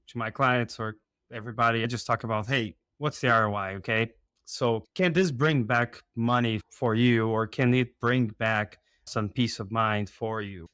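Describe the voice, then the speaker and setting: A man's voice: medium pitch, a bit bright, no room sound, clean studio sound. A man with a Brazilian accent mixed with a Minnesotan one, a dairy consultant chatting casually and upbeat on a podcast, sometimes repeating words as he starts a thought. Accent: Brazilian Portuguese mixed with Minnesotan.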